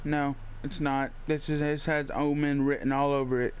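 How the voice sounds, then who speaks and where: A man's voice: fairly high pitch, level tone, moderate pace, clear, resonant tone, poor audio. A man giving casual, wry, offhand commentary while playing a video game. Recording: game sound behind.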